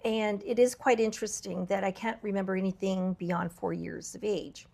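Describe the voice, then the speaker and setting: A woman hosting a podcast, her voice a little raspy from travel, talking in an earnest, reflective way with frequent fillers and restarts. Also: mid-range voice, steady tone, moderate pace, dark tone. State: slightly raspy voice from travel fatigue. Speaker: podcast host. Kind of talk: candid podcast conversation.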